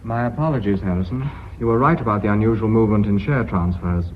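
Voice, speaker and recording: An actor playing a sardonic, well-bred company director in British radio drama, dry and ironically polite. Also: male voice, fairly low pitch, fast talker, a little hiss or hum, lightly acted. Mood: wry, troubled. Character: sardonic, well-bred gentleman heading a family firm. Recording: slightly dull radio drama recording.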